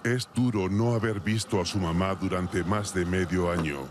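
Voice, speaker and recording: A man reading a scripted news report in a measured, formal way. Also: deep voice, fairly even intonation, a crowd in the background.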